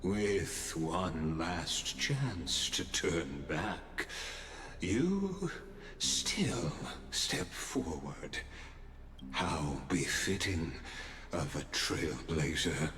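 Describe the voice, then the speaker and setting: An actor playing a calm, scheming male oracle in a game cutscene, with measured, grandiose delivery. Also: very deep voice, faint music behind, full character voice. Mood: mocking. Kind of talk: voiced game cutscene dialogue.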